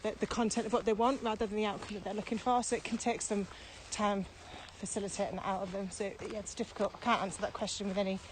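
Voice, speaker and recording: A woman's voice: mid-range voice, level tone, quick pace, few pauses, slight background noise. A British woman co-hosting a casual podcast as a training expert, explaining emphatically with repeated key phrases and rhetorical questions.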